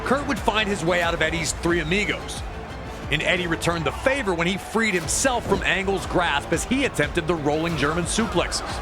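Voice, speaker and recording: A man's voice: fairly high pitch, lively intonation, normal speed. A man delivering dramatic scripted sports narration, recounting wrestling action like a storyteller. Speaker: match narrator. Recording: loud music behind voice. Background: loud music.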